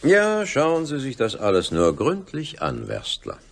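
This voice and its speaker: An actor playing a cultured, condescending host with a gravelly, husky voice and pompous, deliberate delivery in a radio crime drama. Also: male voice, medium pitch, wildly swooping pitch, exaggerated voice. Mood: smug, condescending.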